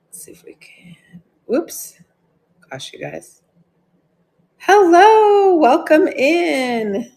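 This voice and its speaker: A slightly hoarse woman hosting a live sale stream, chatting casually, greeting viewers by name and laughing often. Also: high-pitched, huge pitch swings, very slow, long pauses, a little mellow.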